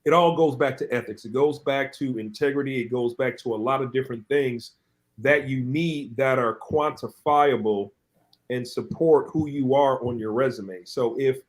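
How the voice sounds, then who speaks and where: A man with a voice of ordinary pitch speaks as a co-host on a casual podcast panel. He tells his stories in a rambling way and often restarts his sentences. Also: normal speed. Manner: rambling storytelling with many restarts.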